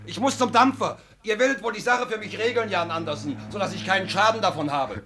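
A man acting a jovial, pushy traveling salesman in a 1950 radio drama, persuasive and full of flattery. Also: sits high, fast talker. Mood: brisk.

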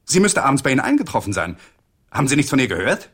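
A husky, restrained lawyer. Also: male voice, mid-range voice, very animated, fast, a bit bright, dry recording, clean studio sound. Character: lawyer husband, man, restrained and tense. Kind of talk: radio play acting.

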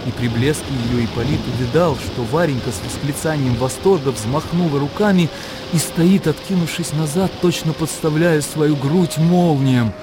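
A man's voice: fairly high pitch, moderate pace, music in the background, rough recording. A man narrating a literary radio drama in a dramatic storytelling style.

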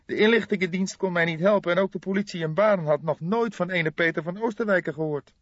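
A man's voice: high voice, fast, no room sound, pitched above the performer's own voice. A man voicing a young, weary police detective who asks and queries in an audiobook. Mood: tense, questioning. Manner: querying, slightly exasperated. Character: young male police detective, eager, weary, questioning sidekick. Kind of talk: audiobook character dialogue. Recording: dull lo-fi recording.

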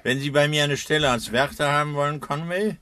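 An actor playing a smug, courteous, menacing middle-aged doctor in an early 1960s German radio crime play. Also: male voice, on the high side, a little fast, bright, forceful tone, no room sound. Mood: smugly amused. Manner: suave, mock-courteous, self-satisfied. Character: smug, politely menacing mad brain surgeon, father of an adult daughter. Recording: noisy old radio drama recording.

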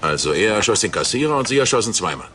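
An actor dubbing a bumbling, earnest American police lieutenant in a deadpan slapstick film parody. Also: male voice, lowish voice. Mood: confused, interrogating. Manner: deadpan, brisk, earnestly obtuse.

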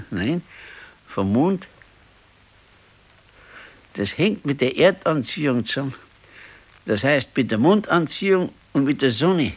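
Radio play: ailing old Bavarian man, brooding and resigned, plain halting speech, medium pitch. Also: sing-song, breathy edge, full character voice. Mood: animated recalling. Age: older. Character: ailing, weary, brooding old retired husband. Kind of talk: naturalistic 1970s radio drama acting.

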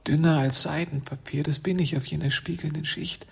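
An actor playing a lovestruck, bewildered dreamer in a radio drama, soft and often near a whisper. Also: male voice, a little high, steady tone, fairly quick, gravelly, slightly rough, heavily acted. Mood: dazed, musing. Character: yearning, bewildered male dreamer in love. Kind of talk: German radio drama acting.

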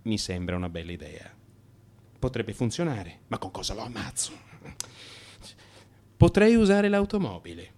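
Male for radio drama: agitated, frustrated man confessing to his analyst, theatrical, very wide pitch swings. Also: big pitch swings, normal speed, pauses often, a little mellow, a little hiss or hum. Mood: scheming. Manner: theatrical, swinging between muttering and outbursts. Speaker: actor in a radio monologue.

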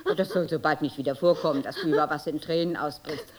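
An actress playing a brisk, strict but caring mother in a radio play, bossy and exasperated. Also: deep voice, steady tone, close to an everyday voice. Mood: softening. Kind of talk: radio drama acting.

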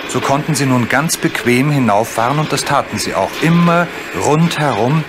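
A man narrating a children's story from a script, with measured, dramatically drawn-out phrasing. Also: medium pitch. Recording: clearly noisy old recording.

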